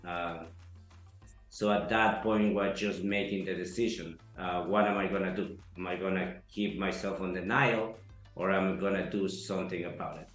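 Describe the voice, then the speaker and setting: A man from Ecuador, giving an earnest, halting testimonial interview full of fillers. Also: deep voice, steady tone. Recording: echoey room, soft music behind.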